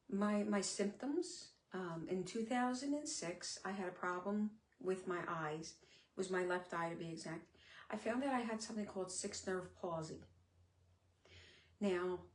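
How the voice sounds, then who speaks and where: A middle-aged woman talking candidly on a live stream, her speech sometimes a little slurred, with many pauses and fillers. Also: mid-range voice. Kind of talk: casual live-stream talk. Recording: echoey room.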